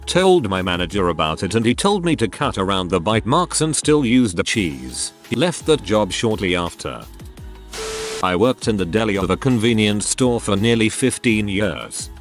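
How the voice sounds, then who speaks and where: A man narrating forum stories in a scripted reading style. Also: lowish voice. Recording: narration over faint background music.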